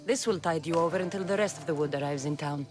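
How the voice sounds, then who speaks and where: A woman's voice: low-pitched, fast, natural voice. A woman playing a confident young mercenary in a video game, with a direct, dry, skeptical delivery. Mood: dry, assured. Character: blunt, confident young female Greek mercenary. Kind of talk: video game cutscene acting. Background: music.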